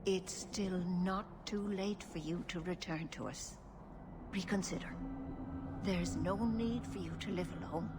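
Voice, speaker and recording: Actress as grave, low-voiced elder elf leader. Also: female voice, music in the background, close to an everyday voice. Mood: grave concern. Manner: solemn, measured. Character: grave, protective older female elf clan leader. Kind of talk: video game cutscene acting. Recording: noisy game ambience behind.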